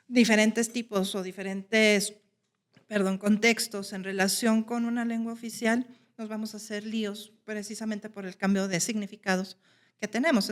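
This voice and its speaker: A woman lays out a careful explanation, pausing now and then to find her words. Her voice sits at a middle pitch. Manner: hesitant, explanatory. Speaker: podcast guest. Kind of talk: casual chat.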